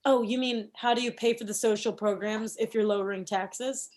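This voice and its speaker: A woman plays a cynical campaign manager in a comedy read. Her voice sits fairly high, and she is confident, pushy and sardonic. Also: fairly even intonation, normal speed, darkish tone, natural voice. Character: brisk, cynical female political campaign manager. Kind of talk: comedy script table read.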